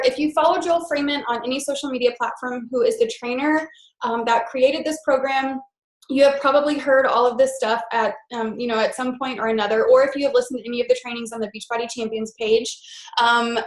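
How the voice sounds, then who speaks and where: A woman's voice: fairly high pitch, reverberant, low-quality recording. A woman with a stuffed-up head cold, leading a team call in an upbeat, chatty way. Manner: upbeat and enthusiastic with many "super"s and "um"s. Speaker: team leader of a coaching group. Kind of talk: hosting a team conference call with announcements.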